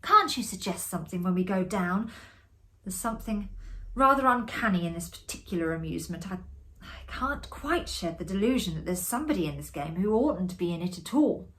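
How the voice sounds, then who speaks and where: Woman doing an earnest rattled gentleman from a ghost story, uneasy and insistent. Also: on the low side, lively intonation, a little fast. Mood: hushed unease.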